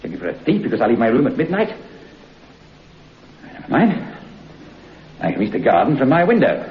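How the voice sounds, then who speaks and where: An actor playing an irritable, haughty, agitated hotel guest in a 1960s radio horror play, with an indignant, blustering manner. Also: male voice, medium pitch, huge pitch swings, brisk. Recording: dull, echoey old radio recording.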